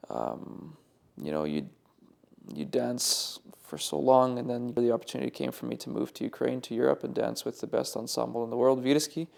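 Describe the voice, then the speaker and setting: A young man from Canada, a professional dancer, answering an interview in a halting, emphatic way with many restarts. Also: medium pitch, vocal fry, darkish tone.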